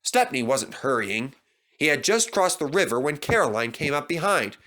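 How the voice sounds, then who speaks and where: Animated male children's storyteller, bright voice. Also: a little high, normal speed. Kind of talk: scripted children's story narration.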